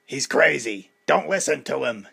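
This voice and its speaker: A man voicing a gruff, blunt old male boxing manager. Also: mid-range voice, lively intonation, unhurried, pushed and bright, heavily acted. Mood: scolding. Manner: blunt, scolding.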